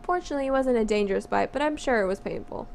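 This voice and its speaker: A woman's voice: on the high side, lively intonation, racing, gravelly, darkish tone. A woman of nineteen reading a visual novel script aloud, playful and chatty with casual asides. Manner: giggly, playful, easily sidetracked. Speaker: visual novel let's player. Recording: noisy home recording.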